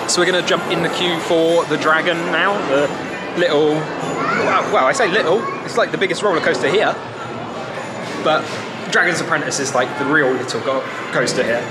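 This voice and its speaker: A young British man with a slightly high voice talks informally to a handheld camera. He is chatty and casual, like a travel vlogger out and about, and loud music plays over the outdoor sound. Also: normal speed, pauses often, over a noisy crowd. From Britain. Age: 20s. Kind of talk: casual vlog narration to camera. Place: theme park, mostly outdoors. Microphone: handheld camera at arm's length.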